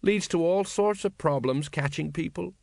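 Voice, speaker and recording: Man voicing a glum sergeant, pitched high. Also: fairly quick, no room sound, studio quality, natural voice. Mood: miserable. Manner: miserable, rambling working-class storyteller. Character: wistful, glum older male sergeant of the night watch. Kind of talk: audiobook character dialogue.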